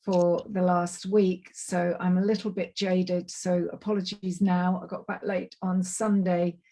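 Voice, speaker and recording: A woman giving an online presentation on a video call, her voice a little croaky and tired, chatty and apologetic with lots of ums and restarts. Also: on the low side, little pitch movement, measured pace, mellow. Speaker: climate project manager giving a webinar talk. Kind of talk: online presentation, loosely scripted. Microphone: computer mic on a video call.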